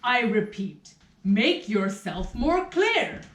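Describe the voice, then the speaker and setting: A woman playing a slow, haughty talking nose. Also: fairly high pitch, very animated, a bit bright, exaggerated voice. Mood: haughty, indignant. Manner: aloof, dismissive, deliberate. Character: haughty human-sized nose dressed as a state councillor. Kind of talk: puppet show stage acting in rehearsal. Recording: roomy echo, somewhat distant mic.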